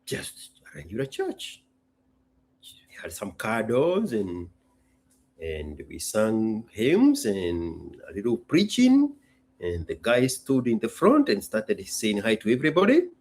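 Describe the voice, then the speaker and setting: A man's voice: lowish voice, huge pitch swings, takes it slowly, a little mellow. A man from Kenya hosting a casual talk, speaking emphatically in short, punchy sentences and repeating his phrases. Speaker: host. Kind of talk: casual solo talk to an audience.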